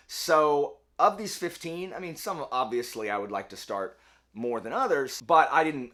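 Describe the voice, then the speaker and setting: Lively, rambling male book vlogger. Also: medium pitch. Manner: chatty, rambling, self-correcting. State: slightly hoarse. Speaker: book channel host. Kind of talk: casual book vlog.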